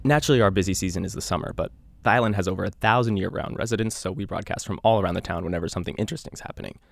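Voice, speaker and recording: A man playing an earnest island radio host, narrating an eerie mystery in a measured, conversational podcast style. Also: sits low, creaky, no echo, studio quality. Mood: earnest, uneasy. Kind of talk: scripted audio drama narration, confessional podcast.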